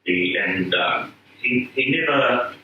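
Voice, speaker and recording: A man from New Zealand, a painter, talking casually at a gallery event, self-deprecating and halting with many restarts. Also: medium pitch, expressive, dull audio, a little hiss or hum. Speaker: painter guest. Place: gallery room. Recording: echoey room from far off.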